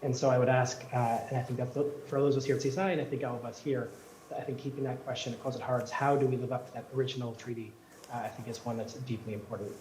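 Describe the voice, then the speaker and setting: A man's voice: medium pitch, steady tone, fast, darkish tone. A Canadian man giving earnest, hesitant opening remarks at a public event, with many fillers. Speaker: co-host of the event. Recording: echoey room with faint background noise.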